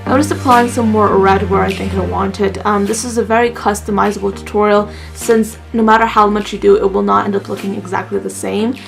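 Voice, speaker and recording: A young woman talks her viewers through a makeup tutorial in a loose, chatty way, full of fillers. Her voice has a gravelly vocal fry. Soft music plays faintly behind her. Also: medium pitch, steady tone, fairly quick, few pauses, poor audio. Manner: chatty and rambling, many fillers. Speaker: makeup vlogger. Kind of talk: casual makeup tutorial chat.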